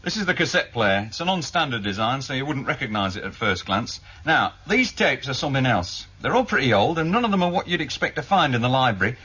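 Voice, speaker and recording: Young-rank british cop in old radio play, lively pitch, husky, explains stuff methodically, dull lo-fi sound. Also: male voice, fast talker, hardly any pauses, exaggerated voice. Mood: explanatory, brisk. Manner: explanatory, matter-of-fact. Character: deferential but keen junior police investigator, male, methodical. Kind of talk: 1970s British radio drama acting. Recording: dull, lo-fi 1978 radio broadcast.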